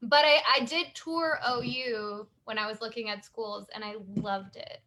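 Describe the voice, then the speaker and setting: A woman from the San Francisco Bay Area hosting a live online workshop on a video call. She talks slowly and easily, with a fairly high, bright voice. She laughs often and lets her sentences trail off. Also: steady tone, poor audio. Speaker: small business owner, workshop host. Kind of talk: casual live-stream chat.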